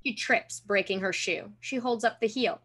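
A woman's voice: medium pitch, normal speed, fairly bright tone, slightly rough. A woman narrating stage directions in a scripted table read over a video call.

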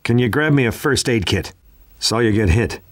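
An actor playing an urgent news helicopter pilot in a zombie video game, with forceful radio-style delivery. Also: male voice, medium pitch, animated, moderate pace, echoey room. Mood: grim, weakening.

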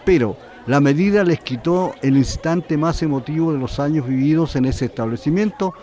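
A man narrating a local television news report in a formal, even reading voice. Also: on the high side, moderate pace.

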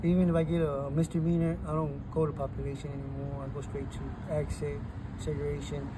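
A middle-aged man with a fairly high voice tells his story in an animated, gesturing way. He sits outdoors by a chain-link fence, filmed close on a phone. Cars and street ambience run clearly behind him. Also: fast talker. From Texas. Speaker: interviewee. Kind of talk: casual street interview. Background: traffic and street ambience.

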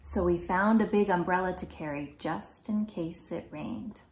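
A woman in her thirties reading a children's picture book aloud in a gentle, measured, clearly phrased way. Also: lowish voice. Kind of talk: children's storybook read-aloud. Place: small indoor room at home.

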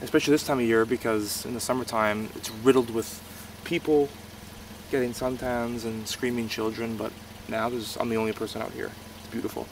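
A man's voice: medium pitch, fairly even intonation, a little fast, noisy background. A young man from Canada talking casually in a car vlog, loose and rambling with lots of ums and restarts. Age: young adult. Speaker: vlogger. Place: inside a small car, later outdoors in a snowy lakeside parking lot.

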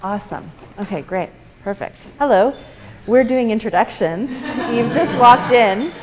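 Loud, projecting woman speaking to a room. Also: fairly high pitch, expressive, measured pace, crowd noise. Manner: loud, projecting without a mic, laughs often. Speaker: guest speaker. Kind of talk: casual talk to a small audience. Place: meeting room with an audience. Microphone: far camera, no microphone.

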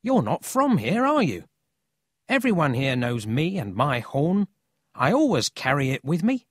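A narrator voicing a cocky, excitable but friendly city boy in a children's storybook, brash and eager. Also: mid-range voice, unhurried, no room sound, voice pushed up.

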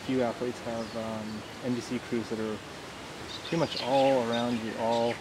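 A man in his thirties chats as an interviewer in a loose, easygoing way, with halting phrasing. He sounds a little away from the mic, outdoors, with clear ambient noise around him. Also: medium pitch, level tone. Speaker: interviewer, host. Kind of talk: casual interview chat. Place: outdoors on a garden bench. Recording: noisy outdoor recording, slightly distant mic.

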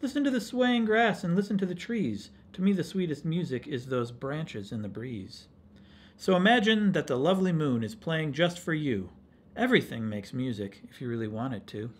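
A man voicing a small, wise, kindly storybook cricket with a gentle, encouraging manner. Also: fairly high pitch, big pitch swings, normal speed. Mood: gentle encouragement.

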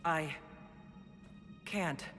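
A woman's voice: deep voice, very slow, a bit bright, big-room sound, faint music behind, heavily acted. An actress playing a bewildered woman prisoner in a fantasy video game, earnest, hesitant and guarded. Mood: shocked, defensive.

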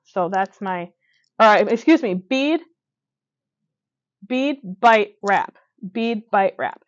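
A woman's voice: mid-range voice, animated, slow, no room sound, dull audio. A woman demonstrating a beaded edging stitch step by step, talking as she works with fillers and small self-corrections. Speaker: stitching instructor. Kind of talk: hands-on craft demonstration. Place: indoors at a table.

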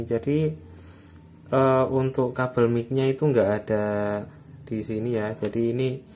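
A man with a fairly low voice talks through a hands-on tutorial. He speaks very slowly and informally, halting as he goes without a script. There is some background noise around him, as picked up by a phone or camera microphone indoors. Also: a little mellow. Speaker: electronics repair hobbyist giving a tutorial. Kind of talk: casual hands-on tutorial narration. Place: indoors at an electronics workbench.

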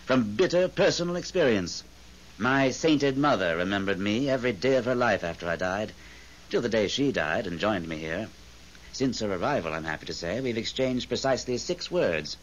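Guy acting jaded urbane ghost in old radio play, dry and sardonic, swoopy pitch, hissy muffled tape. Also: lively intonation, normal speed, lightly acted. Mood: sardonic, weary. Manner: urbane, dry, world-weary. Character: jaded, sardonic male ghost, long dead. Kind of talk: 1970s radio drama acting.

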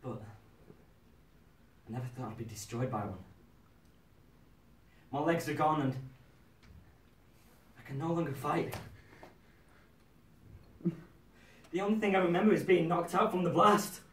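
A young man performing a stage monologue as a wounded, haunted soldier, speaking deliberately with dramatic pauses. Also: a little high, lightly acted. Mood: bitter, haunted. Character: wounded young soldier recalling losing his legs in battle. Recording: roomy, distant mic, faint background noise.

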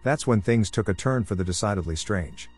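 Low-voiced man narrating horror stories. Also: slightly rough. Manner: low, measured storytelling with dramatic pauses. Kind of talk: scripted horror story narration. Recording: soft music underneath.

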